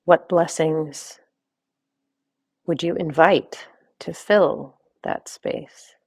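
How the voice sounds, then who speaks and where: Low-pitched woman guiding a meditation, creaky. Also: very slow, darkish tone, no room sound, clean studio sound. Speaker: meditation guide, wellness coach. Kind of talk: guided meditation, gentle instruction.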